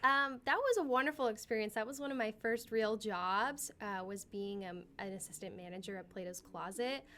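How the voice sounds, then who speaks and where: A woman's voice: medium pitch, moderate pace, few pauses, bright, forceful tone. Upbeat young woman from California. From San Jose, California. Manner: articulate, upbeat and self-assured. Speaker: guest. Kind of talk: casual interview chat.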